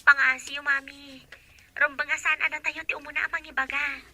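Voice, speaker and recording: A woman's voice: sits high, little pitch movement, brisk, sharp tone. A woman with an Ilocano accent playing a helpful young daughter and loyal friend in a radio drama. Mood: pleading.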